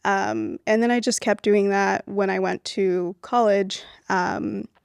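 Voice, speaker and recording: A young adult woman from Venezuela, raised in Miami, talks as a film producer guest in a relaxed, casual interview, using lots of "um" and "like" fillers. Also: mid-range voice, takes it slowly, creaky, smooth, no echo, studio quality.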